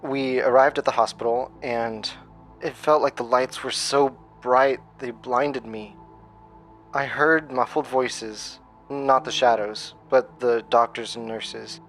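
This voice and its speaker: An actor playing a haunted, traumatised man, telling his story in a halting, grave horror monologue. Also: mid-range voice, fairly even intonation, fairly bright tone, soft background music. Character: haunted, traumatised man recounting a childhood nightmare.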